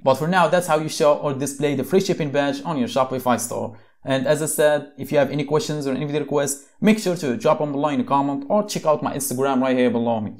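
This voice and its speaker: A man's voice: medium pitch, darkish tone. A young man from Morocco casually explaining an online store setup on screen, with frequent fillers and restarts, recorded at a desk in a small home room. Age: young adult. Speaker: tutorial host. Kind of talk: screen-share software tutorial.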